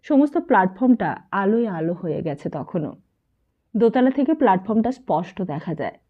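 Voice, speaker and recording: A woman reads a literary novel as an expressive audiobook storyteller, carrying the dialogue within her narration. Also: medium pitch, normal speed. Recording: muffled, narrow phone-like sound.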